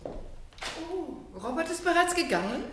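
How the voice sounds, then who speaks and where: A genteel older mother from a radio play. Her voice swings animatedly in pitch, with a dry, arch wit and a talkative, well-bred manner. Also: big pitch swings, takes it slowly, a little hiss or hum, heavily acted. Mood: curious. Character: older upper-class mother, dryly witty, talkative, genteel. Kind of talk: radio drama acting.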